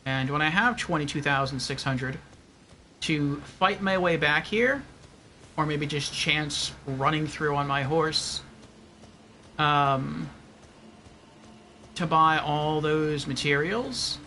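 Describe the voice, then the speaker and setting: A man's voice: a little high, slow, long pauses, pushed and bright, slight background noise. A man giving casual livestream game commentary, thinking aloud and reasoning things out step by step.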